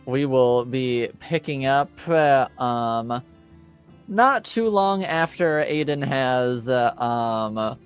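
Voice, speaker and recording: Halting male game master over music. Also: medium pitch, expressive. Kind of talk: unscripted tabletop game narration and recap. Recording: clear music under the voice. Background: background music.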